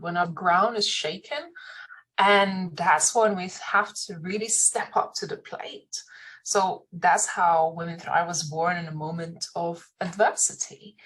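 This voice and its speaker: A woman from Lithuania speaks English with her native accent in a slightly low, husky voice. She is an earnest interview guest, talking in a conversational, motivational way. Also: a bit bright, low-quality recording. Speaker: podcast guest, entrepreneur.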